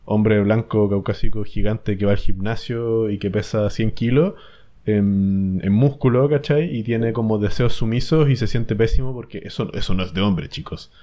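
Chilean podcast host, young man, low voice, muffled lo-fi audio, thinks aloud with fillers. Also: normal speed, mellow. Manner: thoughtful, rambling with fillers. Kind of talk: casual chat.

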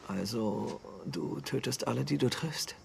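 A man playing a frightened, baffled captive in a dubbed TV drama, hushed and hesitant. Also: medium pitch, a little slow, vocal fry, a little hiss or hum. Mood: fearful, baffled. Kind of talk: dubbed screen drama dialogue. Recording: loud guitar music over the voice.

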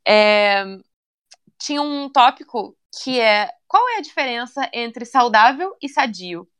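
A young woman chatting casually in an interview, relaxed and talkative, often ending phrases with little tag questions. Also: on the high side, slow pace, smooth. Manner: chatty, relaxed, many fillers like "né" and "sabe".

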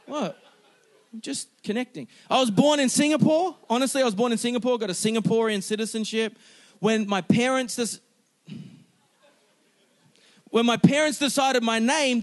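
A man in his forties preaching a sermon as a pastor, animated and emphatic, joking with his congregation. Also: very high voice.

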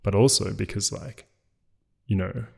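A man hosting a podcast, talking in a relaxed casual way, full of filler words and trailing asides. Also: lowish voice, unhurried, vocal fry, slightly rough. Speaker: podcast host. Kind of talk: casual conversation.

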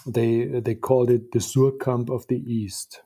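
A man's voice: medium pitch, level tone, a little slow, warm tone, breathy edge. A German man in his fifties, a publisher speaking English as a guest in an interview, thoughtful, often restarting and repeating words. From eastern Germany. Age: 50s. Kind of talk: conversational interview.

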